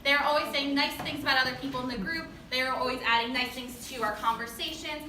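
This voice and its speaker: A woman's voice: high voice, steady tone, quick pace, pushed and bright. Female drama club teacher presenting awards: gushing, chatty, informal, picked up from far off in a reverberant room. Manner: enthusiastic, gushing, colloquial. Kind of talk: informal award speech to parents. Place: indoor performance space before an audience. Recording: echoey room, distant noisy mic.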